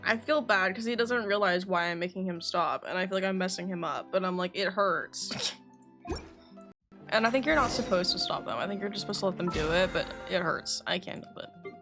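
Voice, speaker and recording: A woman's voice: lowish voice, a little fast, bright, forceful tone, soft background music. A woman streaming a game, chatting casually in a rambling way and swearing now and then. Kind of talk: casual livestream chat.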